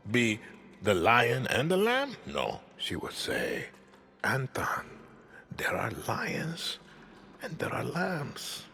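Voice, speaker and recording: An actor playing a calm, controlling middle-aged dictator in a video game, with a Latin American Spanish accent and a measured, menacing delivery. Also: male voice, mid-range voice, exaggerated voice. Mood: brooding, solemn. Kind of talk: cinematic video game acting.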